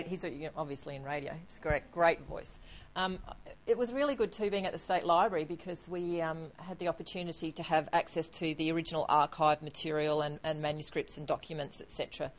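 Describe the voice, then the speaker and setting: Woman, casual, chatty, slightly low voice. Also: fast talker, poor audio. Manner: chatty, many fillers. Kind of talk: casual explanation.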